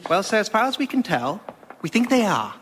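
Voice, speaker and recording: A man's voice: high voice, big pitch swings, fairly quick, background noise. A man with a British accent playing a polite, hesitant character in a radio comedy sketch. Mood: deferential.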